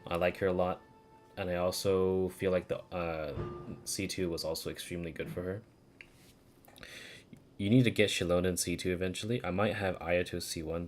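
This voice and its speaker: A low-pitched man talks casually through his game on a stream. He rambles informally and swears now and then, while music plays prominently under his voice. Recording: music playing behind the voice.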